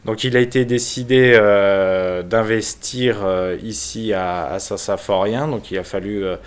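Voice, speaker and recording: A man from France who is a local mayor, giving an unscripted explanation in a halting, hesitant manner with frequent pauses. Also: on the low side, fairly even intonation, clean tone. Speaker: village mayor and local councillor.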